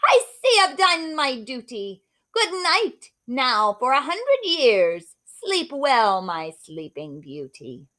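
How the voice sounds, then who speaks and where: Wicked storybook witch, acted by a woman reader: sly, gloating, high and swooping, slow theatrical taunts. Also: wildly swooping pitch, a bit bright, full character voice. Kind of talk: storybook read-aloud.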